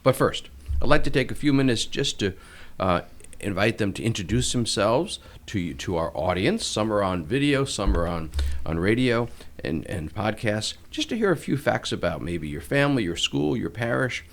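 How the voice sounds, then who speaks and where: A middle-aged man with a lowish voice hosts a church podcast, welcoming guests in a friendly way. His pitch rises and falls a great deal as he talks, and he chuckles and restarts small phrases as he goes. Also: very animated, normal speed. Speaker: podcast host, diocesan chancellor.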